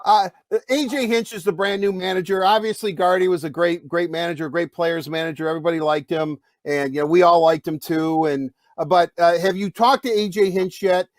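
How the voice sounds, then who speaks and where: A man with a big, loud voice hosting a podcast, asking long, rambling questions in casual chat. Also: sits high, steady tone, fairly quick, no room sound, studio quality. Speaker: podcast host interviewing a player.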